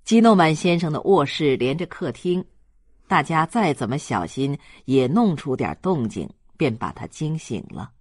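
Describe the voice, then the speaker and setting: An audiobook narrator reading a literary story in a measured, dramatic storytelling manner. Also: mid-range voice, big pitch swings, husky, no room sound, studio quality.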